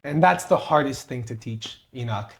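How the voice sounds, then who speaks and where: A man's voice: medium pitch, animated, normal speed, gravelly, a little hiss or hum. A young man from Peru, co-host of a podcast, telling his story and giving advice in an earnest, motivational way. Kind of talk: conversational interview.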